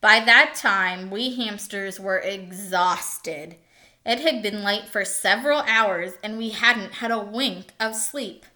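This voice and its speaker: Woman as weary male hamster, scornful, grumbling, storybook character, slow pace, bright tone. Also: mid-range voice. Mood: weary, indignant.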